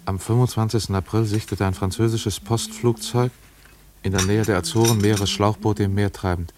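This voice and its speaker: A man's voice: on the low side, steady tone, normal speed, darkish tone, slight background noise, lightly acted. An actor playing an urgent, impatient New York private detective in a German radio play, who also narrates his own story. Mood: reading intently.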